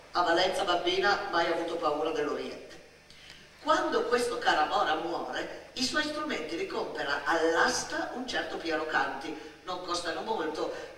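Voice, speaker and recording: A middle-aged woman delivering a theatrical storytelling monologue, expressive and emphatic, with dramatic pauses. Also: on the low side. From Italy. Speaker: stage actress and storyteller. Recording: very echoey, distant mic, faint noise.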